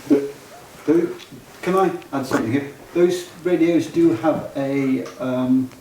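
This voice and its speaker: A man's voice: medium pitch, takes it slowly, warm tone. A man offering informal practical advice as a member of a club discussion. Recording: echoey room, distant mic, faint noise.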